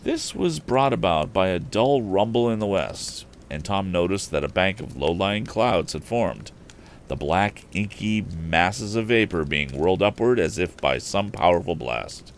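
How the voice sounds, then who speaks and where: A man's voice: low voice, lively intonation, measured pace, slight background noise. A man reading an old adventure novel aloud as a storyteller, expressively and with the occasional stumble. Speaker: storyteller on a live stream.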